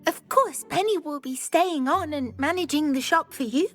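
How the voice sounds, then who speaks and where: Tiny, very high-pitched eager house elf. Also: female voice, moderate pace, a bit bright, soft background music. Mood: devoted, earnest. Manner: refers to self in third person. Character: small devoted female house elf shopkeeper, timid and eager. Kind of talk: video game character acting.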